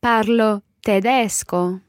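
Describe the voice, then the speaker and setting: A woman's voice: mid-range voice, fairly even intonation, slow, no echo, clean studio sound. A woman co-hosting a language lesson as the learner, carefully repeating each phrase. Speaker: co-host learner.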